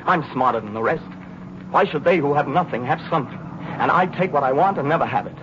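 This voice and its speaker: A dull, faintly noisy vintage radio recording carries an actor as a young American navy pilot. His voice sits a little high, and he speaks with theatrical intensity, a hard-bitten cynic turned fervent believer in a 1940s drama. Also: expressive, quick pace, lightly acted. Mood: brooding, searching. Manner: intense, theatrical, searching delivery.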